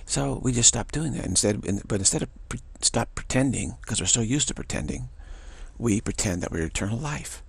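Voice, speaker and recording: A man with a deep voice giving a rambling spiritual monologue, often repeating words and chuckling. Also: animated, a little fast, gravelly, breathy edge, dry recording.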